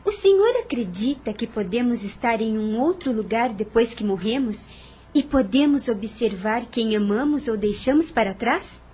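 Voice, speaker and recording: A woman's voice: a little high, lively intonation, moderate pace, darkish tone, husky. An actress playing a sweet, earnest young daughter who pleads with her father in an audio drama. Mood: curious.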